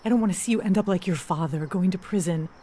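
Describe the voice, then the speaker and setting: A woman's voice: lowish voice, fast talker, dark tone, a little hiss or hum, close to an everyday voice. An actress playing a worried, cautioning mother of a wayward son in a radio drama. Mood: worried, scolding.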